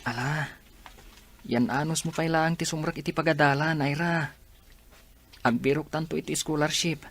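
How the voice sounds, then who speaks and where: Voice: medium pitch, fairly even intonation, moderate pace, pauses often, a bit bright. A performer playing a young male classmate who comforts and encourages his friend. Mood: concerned. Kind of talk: radio drama acting.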